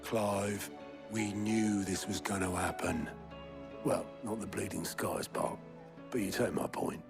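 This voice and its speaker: A gruff male ally with a low, gravelly voice. He is blunt, colloquial and drily funny, speaking over clear music in a fantasy game drama. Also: fairly even intonation, slow. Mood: wry, encouraging. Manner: blunt, dry humour, colloquial. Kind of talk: video game dramatic acting.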